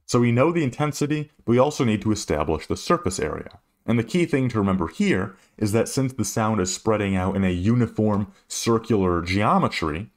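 A man with a low-pitched voice gives an instructional explanation. He works through a problem as a tutor would, laying out each step methodically. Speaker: physics tutor.

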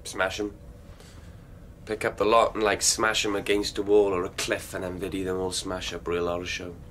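A man's voice: deep voice, completely flat delivery, moderate pace, a bit bright, a little hiss or hum. A man acting a cocky, violent young delinquent in a radio drama, with insolent, theatrical delivery. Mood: gleeful menace. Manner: insolent, theatrical slang-laden talk. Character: cocky, violent young male delinquent narrator, gleefully savage.

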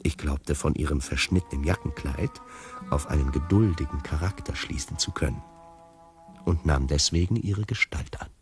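An actor narrating a radio play in the first person as a wry, fastidious tiger, in a deliberate literary manner. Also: male voice, a bass voice, over music. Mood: wry reflection. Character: wry, fastidious tiger telling his own story.

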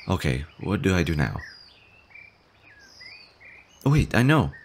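A man's voice: deep voice, very animated, takes it slowly, a little hiss or hum, full character voice. A man playing a jittery stammering slime boy. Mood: worried. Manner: stammering, anxious, fretful. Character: nervous shy male slime monster. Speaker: voice actor. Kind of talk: audio roleplay.

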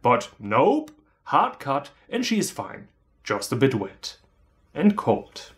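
A man's voice: medium pitch, huge pitch swings, slow pace. A man in his thirties with a German accent, giving a scripted comedic film review in a sarcastic, theatrical manner. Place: small room indoors, on a couch.

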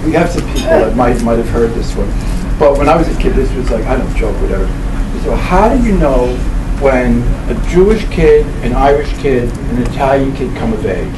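A man in his seventies giving an informal lecture to a live audience in a halting, discursive way, circling back over his phrases. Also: mid-range voice, animated. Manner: halting, discursive, with repeated phrases and self-corrections. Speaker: professor giving a book presentation. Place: indoor library event room. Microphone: camera mic a few meters away. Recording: roomy, distant mic with loud background noise.

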